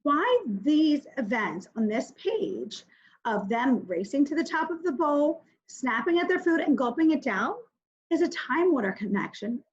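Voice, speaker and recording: Kindergarten teacher lady doing a reading lesson for little kids, super up and down voice, slow, kinda muffled lo-fi audio. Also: high voice, very animated. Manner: simple, instructive, addresses children directly with questions and pauses to think aloud. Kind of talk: recorded reading mini-lesson for young children.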